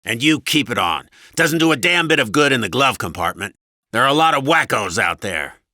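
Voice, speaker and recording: A man voicing a gruff, domineering husband in an audiobook story, with a loud, belligerent, sneering manner. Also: medium pitch, big pitch swings, fairly quick, no echo, clean studio sound, pitched above the performer's own voice. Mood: brusque. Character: gruff, crude, domineering cigar-smoking husband.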